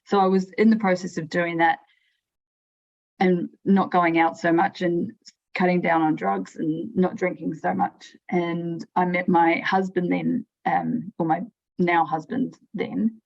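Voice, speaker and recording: A woman's voice: on the low side, measured pace, vocal fry. A woman from New Zealand with a New Zealand accent, telling her story as a podcast guest in a frank, chatty way. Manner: chatty, frank, frequent restarts and "like". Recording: lo-fi remote audio.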